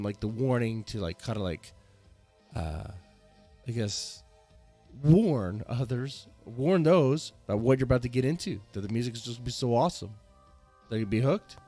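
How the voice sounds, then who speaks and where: A man's voice: medium pitch, a little mellow. A man hosting a reaction video, rambling casually with many fillers and restarts.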